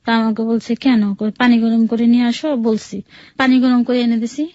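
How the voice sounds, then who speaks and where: A woman's voice: a little high, fairly even intonation, normal speed, darkish tone, dry recording, muffled recording. A woman with a Bangladeshi Bengali accent tells her personal story in a radio interview, recounting it plainly and conversationally with quoted dialogue. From Bangladesh, outside Sylhet. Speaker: guest telling her life story.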